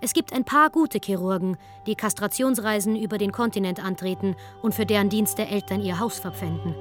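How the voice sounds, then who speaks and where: A woman's voice: medium pitch, a little fast. A woman narrating a scripted radio documentary in a wry, sardonic way. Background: music.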